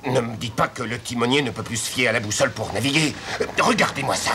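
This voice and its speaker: A man's voice: medium pitch, fast talker, very noisy, lightly acted. A man playing an anxious, superstitious male ship's officer in a cartoon, urgent and full of foreboding. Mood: alarmed, worried. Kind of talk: French animated series dubbing. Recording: music behind.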